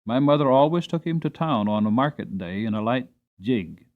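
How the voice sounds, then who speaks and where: A male audiobook narrator reads a storybook in a dramatic style. His intonation is very lively and his phrasing deliberate, on a slightly muffled, lo-fi recording. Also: mid-range voice.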